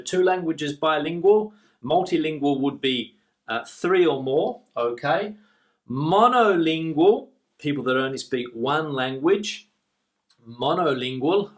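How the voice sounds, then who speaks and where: A man with an Australian accent, an English teacher explaining vocabulary clearly and repeating the key words. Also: medium pitch. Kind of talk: online English lesson.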